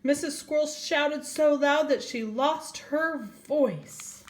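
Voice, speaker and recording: An older woman, a grandmother, reading a children's storybook aloud and speaking directly to young children. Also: sits high. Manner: slow, addressing young children, asking them questions.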